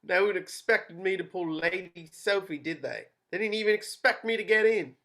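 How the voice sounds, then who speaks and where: A cornered young working-class man, read aloud by a table-read cast member. The voice sits at a middling pitch. The delivery is blunt and emotional. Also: normal speed, bright, forceful tone. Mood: angry. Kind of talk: amateur table read over video call.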